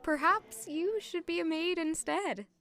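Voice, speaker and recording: A woman's voice: very high voice, a little slow, sharp tone, heavily acted. A woman playing a reserved, modest and wistful young palace maid in an audio drama, with a polite, understated way of talking. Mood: friendly, understated. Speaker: narrator and lead character of audio drama. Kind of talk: audio drama acting with first-person narration.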